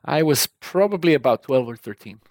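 A man's voice: on the high side, animated, brisk, darkish tone, dry recording, studio quality. A man in his forties from Israel, chatting as an interview guest, often laughing and repeating words as he restarts his sentences. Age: 40s. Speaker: guest, tech company founder. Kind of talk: casual interview conversation.

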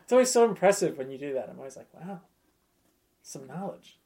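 A man's voice: a little high, big pitch swings, brisk, crowd noise. A man chatting casually as a podcast guest, explaining things with enthusiasm.

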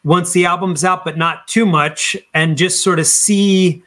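Male, middle-aged, higher register. Blunt, emphatic musician in relaxed interview conversation. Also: unhurried. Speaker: musician, podcast guest. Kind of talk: casual interview chat.

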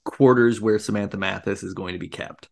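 A podcast co-host giving a casual movie recap, telling it as an animated story with plenty of filler words. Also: deep voice, fairly quick, gravelly.

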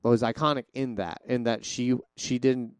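A man's voice: mid-range voice, steady tone, normal speed, clean tone, dry recording. A man co-hosting a podcast in casual chat, talkative and theatrical, often restarting his sentences. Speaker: podcast co-host.

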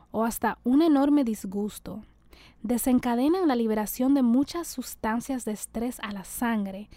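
A woman hosting a podcast who narrates and interviews a guest in a calm, measured way. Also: a little high, no echo. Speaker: podcast host.

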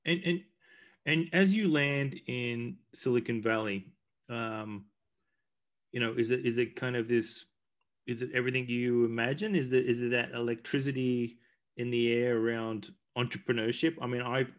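Male podcast host, mid-pitched, eager, stumbling restarts. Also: fairly even intonation, moderate pace. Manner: eager, repeats words when starting questions. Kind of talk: casual interview questions.

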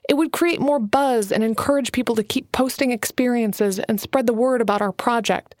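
A woman's voice: fairly high pitch, normal speed, darkish tone, dry recording, clean studio sound, lightly acted. A woman reading an audiobook, voicing an eager, earnest young woman writer who pleads and bristles. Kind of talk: audiobook character voice.

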